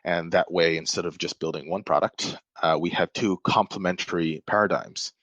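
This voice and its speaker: A man's voice: low-pitched, fairly even intonation, moderate pace, fairly bright tone, no room sound, highs cut off. A man from Malaysia, a podcast guest, explaining things thoughtfully in casual talk, often restarting his sentences. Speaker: podcast guest, software developer. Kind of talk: casual interview chat.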